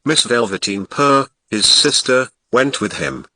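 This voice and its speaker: A man's voice: mid-range voice, a little slow, sharp tone, no room sound. A man with a Ghanaian accent reading a nursery fairy tale aloud, lingering expressively on descriptive words. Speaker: narrator. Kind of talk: storybook reading.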